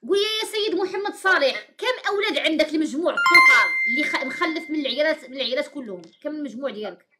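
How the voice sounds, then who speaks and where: Fast-talking Moroccan woman host. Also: high voice, lively intonation, heavy background noise. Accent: Moroccan Arabic. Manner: brisk, repeats caller's answers back. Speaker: host taking calls. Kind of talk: live call-in chat. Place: small room indoors.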